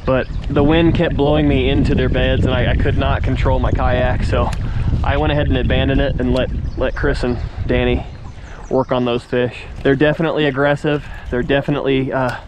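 A man hosting his own fishing channel, chatting excitedly to viewers from a kayak on a river and sounding tired. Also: mid-range voice, moderate pace. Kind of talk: casual on-the-water vlog narration. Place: outdoors on a river, in a kayak and on the bank. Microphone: action camera. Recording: wind and water noise from outdoor camera.

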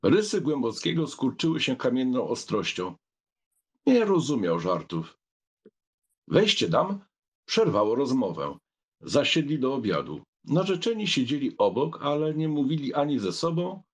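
A man's voice: mid-range voice, sing-song, unhurried, breathy edge. A man narrating a literary audiobook from a script, as a storyteller.

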